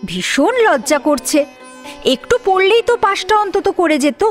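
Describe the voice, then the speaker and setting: High, animated woman storyteller. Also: a little slow, faint music behind. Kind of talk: dramatic narration of a serialized story.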